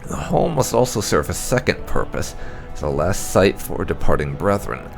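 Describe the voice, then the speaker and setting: Creaky, low-key man reading fantasy lore. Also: very animated, moderate pace, over music. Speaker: gaming YouTuber reading in-game texts aloud. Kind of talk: dramatic read-aloud of fantasy game documents.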